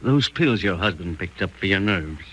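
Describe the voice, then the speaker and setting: An actor playing a polite, inquiring druggist in a 1940s radio drama. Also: male voice, deep voice, expressive, normal speed, a bit bright, slightly rough, full character voice. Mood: polite, curious. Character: courteous, chatty male druggist. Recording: noisy old 1940s radio recording.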